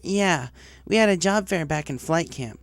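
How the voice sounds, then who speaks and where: A man's voice: on the high side, expressive, normal speed, dry recording, pitched above the performer's own voice. A man voicing an eager, cocky young female pegasus pony in a story reading, with an informal, brash way of talking. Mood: confident.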